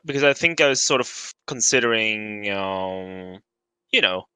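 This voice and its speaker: Man, bright tense voice, calm dry remarks, close dry mic. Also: lowish voice, measured pace, smooth, no echo. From Norway. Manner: calm, explanatory, dry quips. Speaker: streamer co-host. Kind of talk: casual gameplay chat. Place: small home room.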